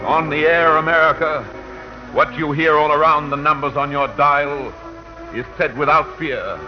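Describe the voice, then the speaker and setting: A man's voice: fairly high pitch, fairly even intonation, moderate pace, natural voice. A man playing the voice of radio itself as a solemn, fervent orator in 1940s verse drama, declaiming poetically. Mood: grave, commanding. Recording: muffled old 1940 radio broadcast, music behind.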